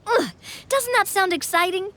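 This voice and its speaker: A woman's voice: extremely high pitch, moderate pace, a bit bright. An actress playing a bubbly, confident young businesswoman who loves adventure, in an enthusiastic, persuasive video game style. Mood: eager, teasing. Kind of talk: animated video game voice acting.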